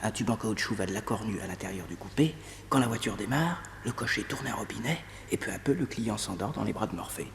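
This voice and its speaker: An actor playing a detective's loyal, eager young nephew who tells the story in a radio serial. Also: male voice, fairly low pitch, animated, a little fast, vocal fry, long reverb tail, slight background noise. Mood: confiding.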